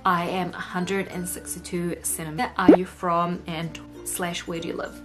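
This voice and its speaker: Low-pitched young New Zealand woman vlogger. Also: level tone, normal speed. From Egypt, moved to New Zealand aged nine. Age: late 20s. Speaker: YouTuber hosting a vlog. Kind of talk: casual chat to camera. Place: indoor room at home. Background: background music.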